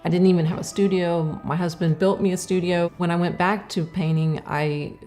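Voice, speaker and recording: Woman, middle-aged, very low voice, reflective, halting speech, faint music underneath. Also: fairly even intonation, dark tone. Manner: reflective, halting, with many fillers and restarts. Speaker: artist. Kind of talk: casual interview talk.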